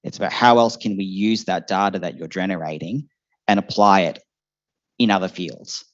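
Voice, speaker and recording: A man's voice: low-pitched, normal speed. A young man in his twenties answering on a discussion panel, thinking aloud with fillers and restarts. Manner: thoughtful, many fillers and restarts. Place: seminar hall before an audience. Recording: muffled lo-fi event recording.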